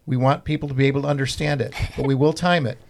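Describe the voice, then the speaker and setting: A man's voice: medium pitch, steady tone, fast talker. A man co-hosting a radio show, upbeat and chatty, with casual fillers. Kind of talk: scripted promo and casual hosting. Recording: music bed underneath.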